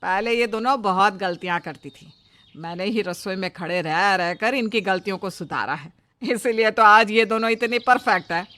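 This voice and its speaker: Woman playing a bright-voiced, irritable mother-in-law. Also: medium pitch, normal speed, dry recording, lightly acted. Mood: defensive. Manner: scolding, indignant, emphatic. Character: irritable, jealous middle-aged Indian mother-in-law. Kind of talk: cartoon moral-story voice acting.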